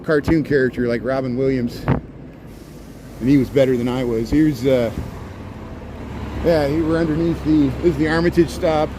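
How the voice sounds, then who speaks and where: A man's voice: mid-range voice, steady tone, normal speed, pauses often. A man in his forties from near Cincinnati, with a slight Cincinnati-Kentucky twang, giving a rambling, chatty walking-tour commentary on a city street at night and hamming it up for viewers. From near Cincinnati, US Midwest. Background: faint street and traffic noise.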